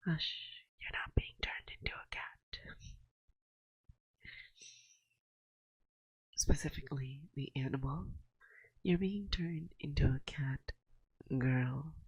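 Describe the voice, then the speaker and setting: A woman playing a dominant, teasing and affectionate forest witch in an ASMR roleplay, speaking in a hushed, breathy voice that often drops to a whisper, close to the microphone. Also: low-pitched, dry recording, lo-fi sound, exaggerated voice. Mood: hushing, calming. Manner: slow, soothing, teasing, gently dominant. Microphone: close binaural microphone.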